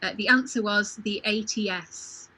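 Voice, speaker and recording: A woman's voice: mid-range voice, moderate pace, pushed and bright, slightly rough, low-quality recording. A woman hosting an informal quiz, reading out questions and answers in a chatty, casual way. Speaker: quiz host. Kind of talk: casual livestream quiz chat. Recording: home livestream video call.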